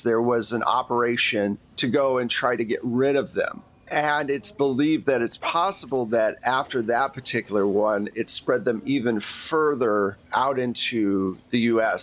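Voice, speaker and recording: A man's voice: mid-range voice, a bit bright. A man narrating a tabletop role-playing game as game master, in a casual, conversational storytelling way.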